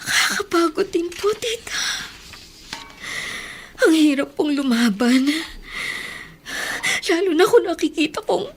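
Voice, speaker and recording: A woman's voice: extremely high pitch, expressive, a little slow, pauses often, a little hiss or hum, full character voice. A woman with a Filipino accent playing an earnest, protective, self-doubting elder sister in a radio drama. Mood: worried, weary. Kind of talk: Tagalog radio drama acting.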